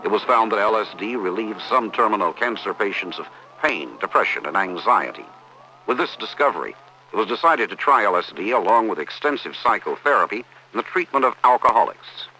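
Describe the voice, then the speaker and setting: A male narrator reads a scripted documentary in a lowish, bright voice with animated intonation. The sound is that of an old educational film soundtrack with a little noise. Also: brisk, poor audio.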